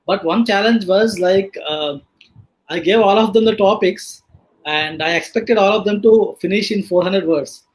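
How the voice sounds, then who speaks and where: A man hosting a live online panel, casually introducing guests in a halting way with many filler sounds. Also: high voice, breathy edge, poor audio. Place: online live video session.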